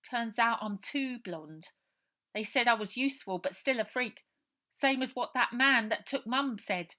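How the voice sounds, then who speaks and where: A woman's voice: fairly high pitch, unhurried, bright, forceful tone, voice pushed up. A woman voicing a frightened, streetwise ten-year-old orphan boy with a working-class English accent, in earnest colloquial speech for a storybook. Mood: frightened, bitter.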